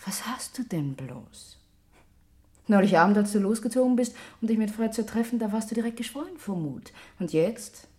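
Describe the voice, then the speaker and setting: An actress playing a caring, probing, practical wife in a German radio crime drama, coaxing and inquisitive. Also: female voice, mid-range voice, lively intonation, normal speed, dark tone, close to an everyday voice. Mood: coaxing, reproachful.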